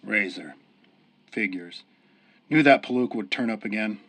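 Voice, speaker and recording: A man's voice: on the low side, moderate pace, fairly bright tone. A man playing a cynical hard-boiled cop in a 1930s-style radio drama, sarcastic, slangy and contemptuous. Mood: scornful. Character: cynical, sneering hard-boiled male cop, quick to accuse. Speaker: police interrogator.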